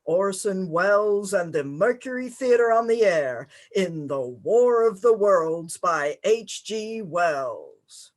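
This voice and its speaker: Formal 1930s radio announcer, acted in a live reading. Very slow, deliberate, composed, mid-range voice. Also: mid-range voice, lively intonation. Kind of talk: old radio announcing, scripted.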